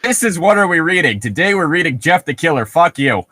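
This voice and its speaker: A man's voice: a little high, sing-song, fairly quick, bright, forceful tone, dry recording, studio quality. A man hosting a comedic podcast in casual banter, swearing and sounding jokingly exasperated. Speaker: podcast host.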